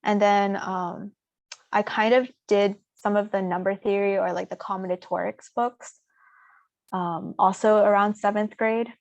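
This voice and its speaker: A young woman speaking informally as a student presenter, answering audience questions in a relaxed way with many "um"s and "like"s. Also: mid-range voice, unhurried, dry recording, dull audio. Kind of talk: casual Q&A seminar.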